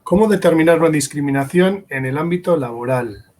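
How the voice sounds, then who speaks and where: A man's voice: fairly high pitch, expressive, fairly quick, darkish tone. A man moderating an online conference session, reading questions and thanking people in a courteous, formal way.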